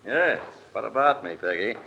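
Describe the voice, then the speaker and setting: A deep-voiced, jovial boyfriend. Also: male voice, lively intonation, normal speed, gravelly, bright, forceful tone, lo-fi sound, a little hiss or hum, heavily acted. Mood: jovial, needling. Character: jovial, possessive boyfriend and hard drinker. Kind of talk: 1950s radio drama acting.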